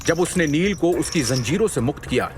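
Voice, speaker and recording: A man narrates an audio story in a scripted, dramatic style. His voice is a little higher than most men's, and music plays behind him. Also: animated, fairly quick. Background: background music.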